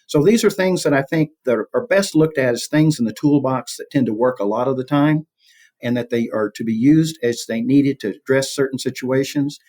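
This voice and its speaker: Mid-pitched man explaining conversationally. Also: normal speed, darkish tone. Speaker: academic expert guest. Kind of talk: explanatory interview answers.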